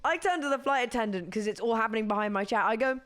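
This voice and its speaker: Hoarse young British woman streamer. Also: fairly high pitch, animated, fast talker, a bit bright. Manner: chatty, rambling, laughs often. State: hoarse, losing her voice. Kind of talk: casual chat to stream viewers.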